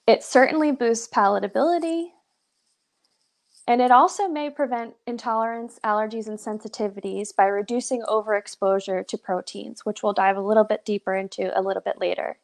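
A woman's voice: medium pitch, steady tone, a little fast, vocal fry. A young woman presenting a live pet nutrition webinar over a video call, upbeat and encouraging, with lots of "so" and "awesome". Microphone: webcam on a video call.

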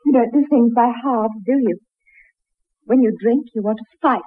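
A woman's voice: a little high, moderate pace, slightly rough, no echo. An actress playing an anxious, torn married woman in a 1960s radio drama, hesitant and emotional. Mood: wary, fond. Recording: muffled, narrow-band 1960s radio recording.

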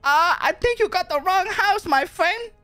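A man putting on a high-pitched woman's voice, brash and swearing at people at the door. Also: animated, unhurried, pushed and bright, no room sound, soft background music, full character voice. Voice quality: very high falsetto. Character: shrill woman shouting from a balcony. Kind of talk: roleplay on a game stream.

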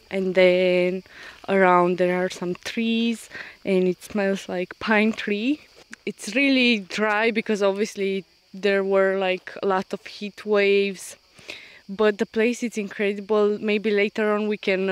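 Female travel vlogger, slow and easygoing pace, casual outdoor narration. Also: medium pitch, clear, resonant tone. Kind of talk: casual vlog narration to camera. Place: outdoors, beach and lakeside woodland.

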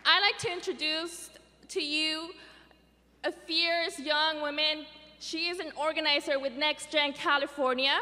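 A woman's voice: high-pitched, fairly even intonation, a little slow, sharp tone. A young woman introducing a speaker on stage, upbeat as she greets the audience from a stand mic. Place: large hall stage. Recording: stage mic in reverberant hall with audible room noise.

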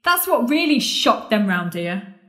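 A woman's voice: a little high, big pitch swings, unhurried, a bit bright. An actress playing a bitter, scornful woman confronting her lost love in an audio drama, sardonic and accusing. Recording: roomy, echoing space.

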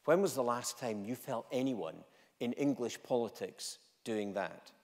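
A man's voice: medium pitch, expressive, measured pace. Impassioned Scottish man in a big hall. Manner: rhetorical, repeating lines for emphasis, swinging between loud and quiet. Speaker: chief executive giving a conference speech. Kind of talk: impassioned conference keynote speech. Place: large conference hall stage. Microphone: stage microphone, walking freely. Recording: long hall reverb.

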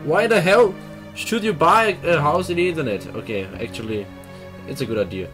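Young Austrian gamer host; energetic reactive commentary on a headset, fairly high pitch, quick swearing and laughs. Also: male voice, over music. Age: young adult. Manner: excitable, swearing, laughing, reads game text aloud. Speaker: let's play host. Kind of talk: gaming commentary. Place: small room at a computer. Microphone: headset mic.

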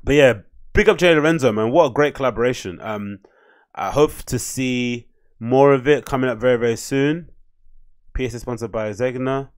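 A man's voice: medium pitch, moderate pace. A man, hosting a casual solo podcast and rambling from a small home room into a desk mic. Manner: rambling, chatty, reads captions aloud in mock voices. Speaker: podcast host. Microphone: desk mic on boom arm.

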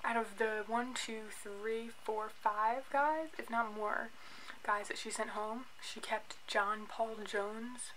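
A woman in her twenties with an American accent, chatting casually and giving opinions in an animated way as a vlogger. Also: on the high side, takes it slowly, a little hiss or hum, low-quality recording. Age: 20s. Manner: opinionated, animated, filler-heavy with "like". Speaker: YouTube vlogger reviewing a reality show. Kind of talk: casual chatty vlog commentary. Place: small indoor room at home, by a bookshelf.

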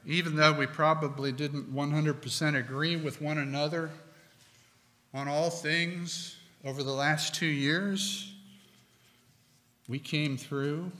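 A middle-aged man preaching a sermon from a pulpit, slow and deliberate with emphatic pauses. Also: a little high, bright, forceful tone. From United States. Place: church sanctuary with stone wall, at a pulpit. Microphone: pulpit microphone. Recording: long hall reverb.

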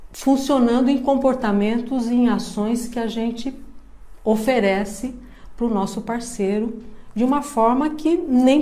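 A woman in her fifties from Brazil, a psychologist giving a calm, measured online lecture to camera from a room at home. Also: a little high. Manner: slow, measured, explaining step by step. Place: indoor room with plants and a painting behind. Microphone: webcam framing, seated at desk.

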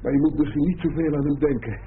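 A man's voice: a little high, fast talker, close to an everyday voice. An actor playing a wry, joking, world-weary middle-aged father in a radio play, droll and full of muttered interjections. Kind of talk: Dutch radio play acting. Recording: tinny, hissy old radio recording.

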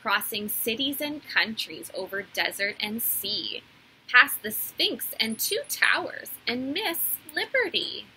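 A woman in her thirties reading a children's story aloud like a teacher, in a deliberate, animated storytelling way. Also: a little high, a little hiss or hum, poor audio. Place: small indoor room.

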